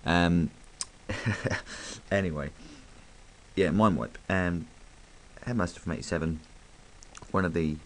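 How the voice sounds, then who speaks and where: A man with a croaky voice from hay fever, chatting casually and rambling a little as he reviews a toy. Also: low voice, slow pace, pauses often, a bit bright, a little hiss or hum, rough recording. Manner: chatty, rambling, full of ums.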